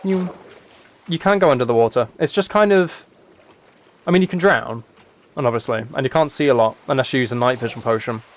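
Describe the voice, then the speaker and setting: A young British man chatting casually as he streams a game, rambling and thinking out loud as he goes. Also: mid-range voice, a little fast, long pauses. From United Kingdom. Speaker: live streamer.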